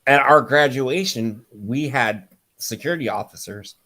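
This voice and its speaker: A man's voice: medium pitch, measured pace, sharp tone. A man in his forties co-hosting a casual true-crime chat, mostly chiming in briefly and steering the topic. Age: 40s. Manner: brief interjections, steering the conversation. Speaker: podcast co-host.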